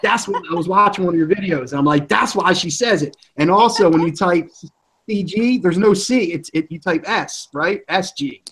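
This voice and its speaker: A man's voice: sits high, normal speed, fairly bright tone, slight background noise. Chatty man with a Philly accent. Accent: Philadelphia. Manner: rambling, chatty, self-correcting. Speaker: livestream host. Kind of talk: casual group chat.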